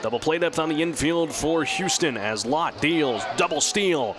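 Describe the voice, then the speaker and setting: A man working as a radio play-by-play announcer, giving live baseball commentary in a measured, descriptive way that lifts with the action. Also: a little high. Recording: clear outdoor ballpark background noise. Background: outdoor stadium ambience.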